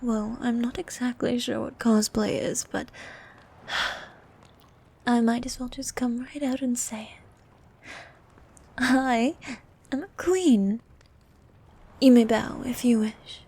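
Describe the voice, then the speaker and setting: A woman playing a bossy, haughty ancient sea queen monster in an ASMR roleplay, with a soft, partly whispered voice and regal, condescending manner. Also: fairly high pitch, steady tone, slightly rough, heavily acted. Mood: imperious, offended. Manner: regal, condescending, formal, unhurried.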